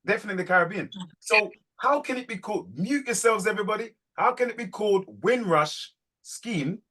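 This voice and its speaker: A man hosting a reading group on a video call, urging and animated, repeating phrases as he presses his points. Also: sits high, fairly quick, rough recording.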